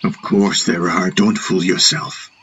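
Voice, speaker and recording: A man voicing an earnest, peace-minded young warrior who argues deliberately, in a fantasy audiobook. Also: deep voice, fairly even intonation, a bit bright, highs cut off, slight background noise. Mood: correcting.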